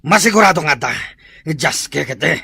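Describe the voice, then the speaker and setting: An actor playing a bossy, panicky bandit in a radio drama, barking orders and scolding. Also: male voice, a little high, animated, moderate pace, creaky, sharp tone, full character voice. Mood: angry scolding.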